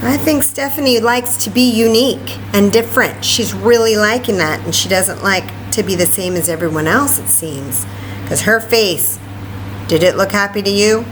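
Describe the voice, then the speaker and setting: A woman reading a story aloud to children in an expressive way, with chatty asides and questions. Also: medium pitch, normal speed, background noise. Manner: expressive, asks listeners questions. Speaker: library story time reader.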